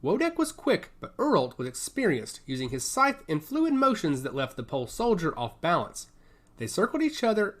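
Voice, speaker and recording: A male narrator reads a scripted action story in dramatic audiobook style. His voice sits at a medium pitch and moves with lively, varied intonation.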